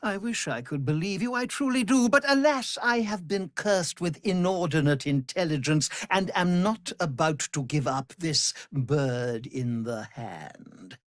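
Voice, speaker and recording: A cruel, aristocratic vampire master as a game villain, in a very dry, close recording. He speaks slowly, savoring his contempt, and his pitch swoops widely from menace to imperious command. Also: no room sound. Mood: mocking. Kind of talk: dramatic video game villain acting.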